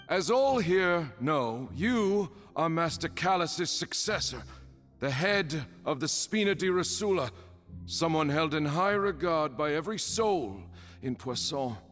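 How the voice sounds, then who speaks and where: A theatrical, grandstanding accuser. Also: male voice, fairly high pitch, a bit bright, big-room sound. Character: theatrical male accuser, smooth and self-assured.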